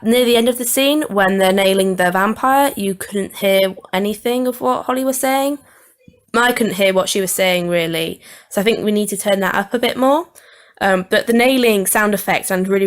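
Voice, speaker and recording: A young woman with a medium-pitched voice talks through feedback on an audio project. She is informal and halting, thinking aloud as she goes. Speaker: student producer reviewing an audio edit. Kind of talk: informal video commentary.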